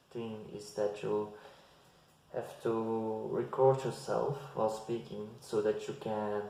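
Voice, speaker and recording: Man, hesitant casual advice, low flat voice. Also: vocal fry. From Belgium. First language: Dutch. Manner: slow, hesitant, with frequent restarts and fillers. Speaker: language-learning vlogger. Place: bare indoor room. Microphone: fixed camera at desk distance. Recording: very echoey bare room.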